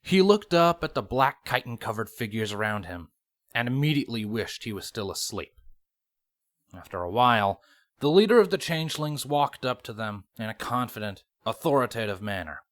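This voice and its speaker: A man's voice: mid-range voice, sing-song, moderate pace. A man reading fan fiction as scripted audiobook narration, in a dramatic storytelling manner.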